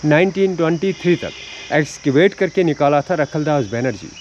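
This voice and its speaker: A man's voice: fairly high pitch, expressive, moderate pace, a little mellow, lo-fi sound, slight background noise. A man working as a local guide, explaining the history of the ruins outdoors, calling the listener sir and listing dates and dynasties.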